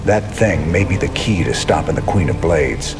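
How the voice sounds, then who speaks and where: Low-voiced rebel commander. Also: male voice, animated, normal speed. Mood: rousing, determined. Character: weary, determined rebel commander, plainspoken and idealistic. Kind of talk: video game cutscene acting. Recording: loud music and rain sounds behind.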